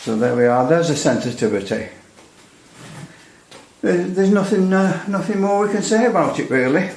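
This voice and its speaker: A man giving a casual hands-on equipment demonstration as a hobbyist, thinking aloud in a halting way. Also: high voice, animated, gravelly, background noise. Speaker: radio repair hobbyist presenter. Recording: echoey room.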